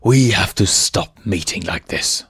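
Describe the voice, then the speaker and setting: A man voicing a menacing, contemptuous lion villain in a story, with raspy, drawn-out threats. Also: sits low, fairly bright tone, dry recording, faint music behind, exaggerated voice.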